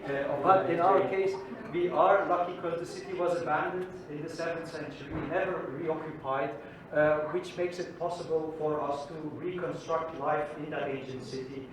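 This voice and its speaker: A man's voice: a little high, fairly even intonation, background noise. Belgian man lecturing in an echoey hall. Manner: friendly, with frequent "uh" pauses. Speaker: archaeologist giving a talk. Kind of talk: lecture presentation. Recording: distant mic in an echoey hall.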